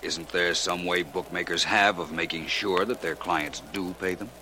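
An actor playing a calm, assured private detective in a 1940s radio drama. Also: male voice, sits low, moderate pace. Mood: cool, probing. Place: radio studio. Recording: slightly noisy vintage radio recording.

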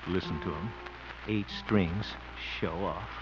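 A man's voice: sits low, slow pace, loud music behind the voice. An actor playing a jealous, bumbling grown man who mutters to himself in a 1950s radio sitcom. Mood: sneaky. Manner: blustery, flustered muttering to himself. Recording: dull old radio recording with loud hiss.

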